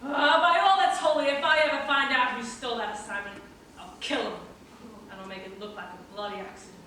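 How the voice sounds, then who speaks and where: A woman's voice: on the high side, a little fast, pushed and bright. A woman playing an irreverent, indignant priest with theatrical comic delivery in an amateur stage play. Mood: dry, wry. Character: irreverent, indignant priest with a failed law degree. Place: college theatre stage, picked up from a distance. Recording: very echoey stage hall, distant mic, faint noise.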